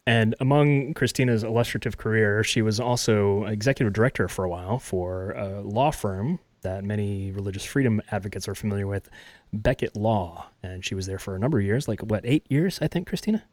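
A man's voice: lowish voice, a little fast, a little mellow, dry recording. A man hosting a podcast interview, talking in a casual, hesitant way with many fillers. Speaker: podcast host.

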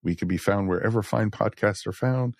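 A man's voice: on the low side, a little fast, vocal fry, dry recording, clean studio sound. A man hosting a podcast, chatting casually and addressing listeners in a folksy way. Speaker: podcast host.

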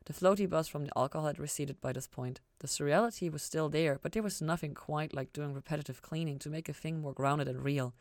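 A woman's voice: deep voice, fairly quick, hardly any pauses, a little mellow, dry recording, clean studio sound. A woman reading fan fiction aloud as scripted story narration.

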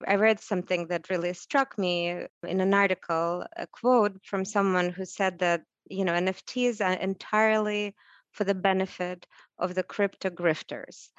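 A woman chats animatedly as a guest, tossing questions back to the others. Her voice is a bit low for a woman. The recording is dull and muffled, with a dry, close sound. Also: no echo. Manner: chatty and animated, poses questions. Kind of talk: conversational interview.

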